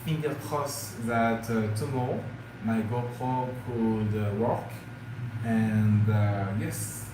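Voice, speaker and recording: A man's voice: on the low side, steady tone, slow pace. French-accented man chatting in an echoey room. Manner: hesitant, many fillers, addresses viewers as guys. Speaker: travel vlogger. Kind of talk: casual livestream chat with viewers. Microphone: phone or camera mic, live stream. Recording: very echoey room with clear background noise.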